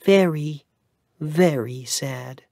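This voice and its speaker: A man voicing a gentle, sad young mother in a storybook reading, speaking softly and tenderly. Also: fairly high pitch, extremely slow, creaky, no echo, studio quality, voice pushed up, full character voice. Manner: soft and tender.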